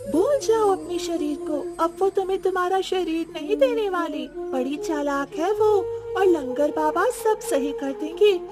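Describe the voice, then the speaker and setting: A woman voicing a helpful, knowing talking bird that gives advice in an animated story. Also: very high-pitched, a little slow, hardly any pauses, over music. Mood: advising. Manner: urging, advising. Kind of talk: Hindi animated moral story acting.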